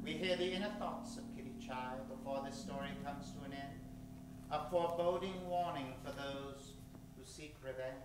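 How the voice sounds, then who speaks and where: A man's voice: on the high side, moderate pace, noisy background, lightly acted. A man playing an ominous storyteller, narrating an old-time radio horror tale with dramatic, suspenseful phrasing. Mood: foreboding. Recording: echoey stage with music underneath.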